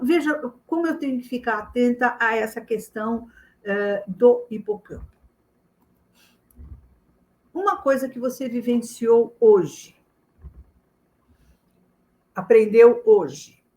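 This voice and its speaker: A middle-aged woman with a fairly high voice, teaching a memory lesson. She explains step by step and speaks straight to the listener, often repeating her main point. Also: darkish tone, poor audio. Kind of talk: spoken lesson to camera.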